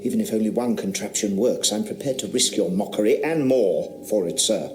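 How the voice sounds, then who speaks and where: A serious country squire with a passion for experiments, played by a man in an audio drama. He speaks in a lowish, measured way and defends his ideas earnestly. The recording is roomy with faint hiss. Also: darkish tone, close to an everyday voice. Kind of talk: radio drama acting.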